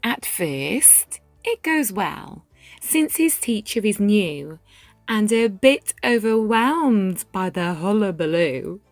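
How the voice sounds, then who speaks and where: A woman reading a rhyming children's picture book aloud, with measured, dramatic phrasing and deliberate pauses. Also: mid-range voice, very animated, dry recording. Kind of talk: expressive children's read-aloud narration in rhyme. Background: music.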